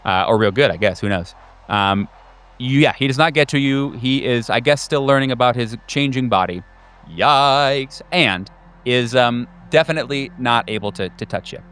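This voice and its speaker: A man working as game master, improvising narration for a horror tabletop game in a halting, informal way. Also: medium pitch, a bit bright, clear, resonant tone. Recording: music behind the voice. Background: background music.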